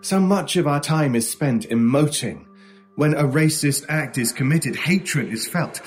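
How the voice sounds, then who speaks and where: A man acting a mysterious, intense stranger in an old-time radio horror drama, speaking deliberately with theatrical pauses. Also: fairly high pitch, natural voice. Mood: brooding, musing. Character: intense mysterious male stranger, brooding paranormal expert. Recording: music under the voice. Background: music.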